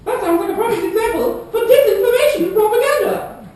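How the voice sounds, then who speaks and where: A woman's voice: very high-pitched, lively intonation, fast, a little mellow. A woman playing an eager, analytical female debate co-moderator and pundit in a scripted puppet show. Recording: echoey room, distant mic, background noise.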